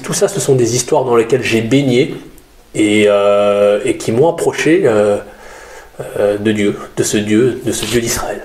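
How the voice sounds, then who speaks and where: Low-voiced Parisian man giving testimony. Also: a little mellow. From Paris region, France. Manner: earnest, explanatory, with many "euh". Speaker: guest. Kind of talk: interview testimony. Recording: roomy sound with faint background noise.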